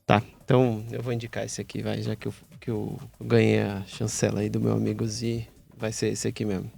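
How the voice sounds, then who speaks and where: A man's voice: fairly low pitch, level tone, brisk, vocal fry, warm tone. A man with a Brazilian accent hosting a casual podcast chat in an informal, slangy way, with some swearing. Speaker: podcast host.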